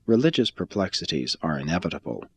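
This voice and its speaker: A man reading a religious text aloud as scripted narration, in a measured, formal and solemn manner with deliberate phrasing. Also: low voice, big pitch swings, breathy edge.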